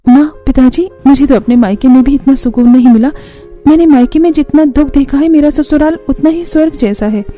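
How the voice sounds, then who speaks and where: A woman's voice: sits high, fairly quick, warm tone, lightly acted. A woman playing a timid, grateful young bride in a cartoon family story. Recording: music bed under the voice.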